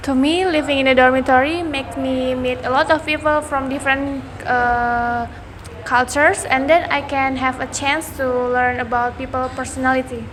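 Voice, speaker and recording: A young woman in her late teens, a nursing student guest, answering in a composed, steady way. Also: high-pitched, normal speed, slight background noise. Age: young adult.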